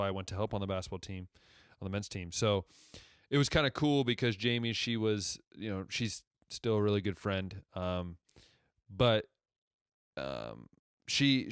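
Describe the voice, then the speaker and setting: A young man from Ohio in his twenties with a deep radio-style voice, hosting a casual solo podcast and rambling conversationally as he backtracks through his story. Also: smooth, no echo, studio quality. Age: 20s. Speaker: podcast host.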